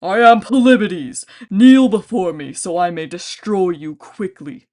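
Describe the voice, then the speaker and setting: A woman's voice: unhurried, darkish tone, close to an everyday voice. A woman doing a roaring giant warlord. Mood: enraged. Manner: roaring, booming commands. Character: huge malevolent male giant warlord, domineering.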